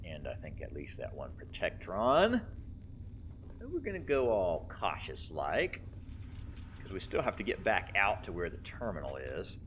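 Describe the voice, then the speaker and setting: A man's voice: low-pitched, wildly swooping pitch, a little slow, a bit bright. A man giving casual commentary as he plays a video game. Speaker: gamer, let's play host. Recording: faint game noise behind. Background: video game sounds.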